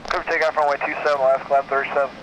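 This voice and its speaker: A man's voice: on the high side, little pitch movement, rapid-fire. A man speaking as a pilot, giving brief readbacks and replies over a noisy aviation radio. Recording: noisy cockpit radio transmission. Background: aircraft and radio noise.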